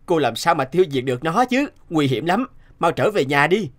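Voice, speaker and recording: A man voicing a brave, gentle young hunter in an animated fairy tale, earnest and protective. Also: a little high, normal speed. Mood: caring, earnest. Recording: music behind the voice.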